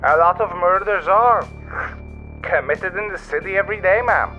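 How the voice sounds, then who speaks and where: Man acting as weary police officer, dismissive, high-pitched, patronizing, radio drama, dull lo-fi sound. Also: normal speed, pushed and bright, faint music behind. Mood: dismissive, impatient. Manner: patronizing, brusque.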